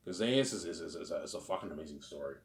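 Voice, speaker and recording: A man's voice: deep voice, lively intonation, very fast, creaky. A man from Canada with a mild Canadian accent, talking in a rambling casual monologue that often repeats and restarts words.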